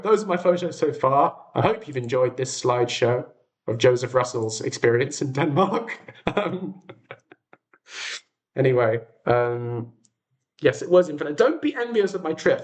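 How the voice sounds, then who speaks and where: A young British man talks casually to his livestream viewers. His pitch swings widely as he goes, giving an enthusiastic, whimsical feel to the chat. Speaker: livestream host.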